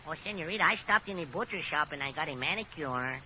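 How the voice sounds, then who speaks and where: A man's voice: fairly high pitch, expressive, fast talker, highs cut off, natural voice. An actor playing a cheerful, muddled Mexican handyman in 1940s radio comedy, with a Mexican accent and long-winded explanations. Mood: sheepish. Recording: scratchy, band-limited 1940s radio recording.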